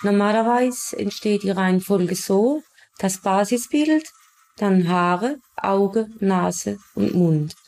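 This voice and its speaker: A woman's voice: medium pitch, takes it slowly, a little mellow. A woman from Germany, a police sketch artist, explaining her work in an interview.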